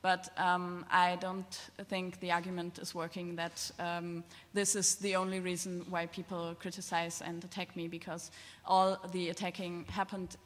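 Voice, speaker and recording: A woman speaking unscripted as a panelist in a public discussion, halting and often searching for words. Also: fairly low pitch, flat delivery. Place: large reverberant hall. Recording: echoey hall with long reverb.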